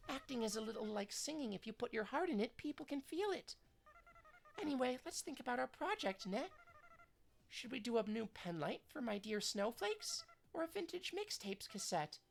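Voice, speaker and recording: Woman playing a bubbly young pop idol, soft sweet high voice. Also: very animated, moderate pace, vocal fry.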